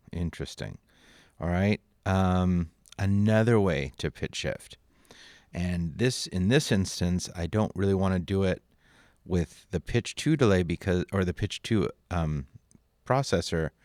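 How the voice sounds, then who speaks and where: Male software instructor, deep voice, relaxed screencast delivery that thinks out loud, dry sound with no room. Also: no echo. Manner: informal, thinking aloud. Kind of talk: casual screen-recorded tutorial narration.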